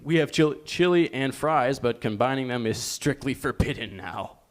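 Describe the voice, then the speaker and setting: A deadpan male sidekick read by a man in a live comedy table read. His voice sits at an ordinary middle pitch. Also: normal speed, close to an everyday voice.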